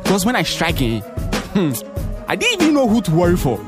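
A sly Ghanaian schemer narrating an audio drama. His delivery is boastful and sing-song, with lively pitch swings over loud hip hop music. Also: brisk. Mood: smug, teasing. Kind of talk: teasing narrator monologue with slang.

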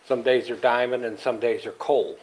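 A man's voice: mid-range voice, steady tone, normal speed, a little mellow, poor audio. A middle-aged man talks in an easygoing, folksy way, rambling through his thoughts. He co-hosts a casual podcast from a small room, speaking into a headset on a video call. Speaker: podcast co-host.

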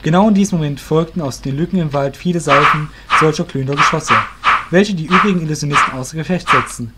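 A man's voice: fairly high pitch, quick pace, very noisy. Male narrator of a fantasy story. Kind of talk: scripted fantasy audio drama narration.

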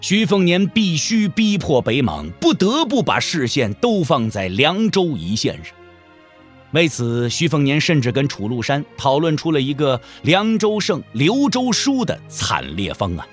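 A man's voice: fairly high pitch, big pitch swings, measured pace, faint music behind. A man narrating a martial-arts audio drama in a dramatic, scripted storytelling style.

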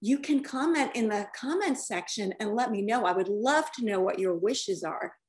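A woman's voice: medium pitch, normal speed. A middle-aged woman reading a picture book aloud expressively, with questioning asides to children, in a room at home. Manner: expressive, asks the listeners questions. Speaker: children's author and storyteller. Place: indoors, attic-style room at home. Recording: roomy home recording.

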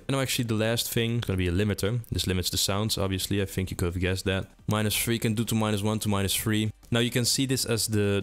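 Fast-talking, low-voiced man. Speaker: tutorial host. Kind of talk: casual software tutorial narration. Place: indoor room with little acoustic treatment.

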